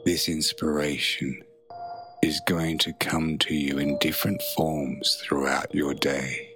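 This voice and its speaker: A man narrating a guided meditation in a soothing, measured way, leaving long pauses between phrases. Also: rumbling low, level tone, music in the background.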